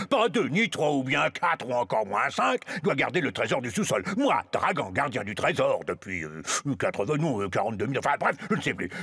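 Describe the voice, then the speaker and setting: A man's voice: a little high, gravelly, fairly bright tone, breathy edge, full character voice. A man voicing an ancient, forgetful, blustering dragon in a French cartoon, pompous and rambling. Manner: pompous, rambling, hesitant when muddled.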